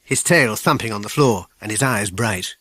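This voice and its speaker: A man with a British accent reading a children's audiobook in measured, clearly phrased storytelling. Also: on the low side, bright, forceful tone, dry recording. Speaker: audiobook narrator. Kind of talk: scripted children's story narration.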